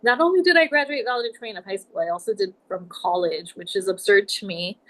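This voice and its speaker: Woman, 40s, from nebraska, reflective podcast guest, mid pitch. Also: a bit bright, low-quality recording. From United States, Nebraska. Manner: reflective, measured storytelling. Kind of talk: casual interview talk.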